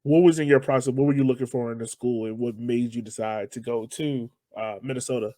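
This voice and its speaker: A man's voice: medium pitch, fairly even intonation, brisk, dark tone, no room sound. A man with an American accent hosting a casual interview, informal and slangy, often repeating his phrases. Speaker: podcast host.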